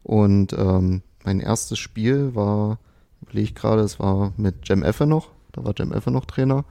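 Physio guy from saxony-anhalt, low gravelly voice, easygoing. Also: unhurried. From Saxony-Anhalt, Germany. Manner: relaxed, hesitant with fillers. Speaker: physiotherapist guest. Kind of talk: casual interview answers.

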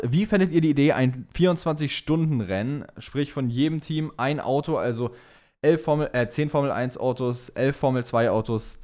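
A man's voice: medium pitch, a little mellow. A man co-hosting a casual motorsport chat, talking informally with English slang mixed in. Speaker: podcast co-host reading listener questions.

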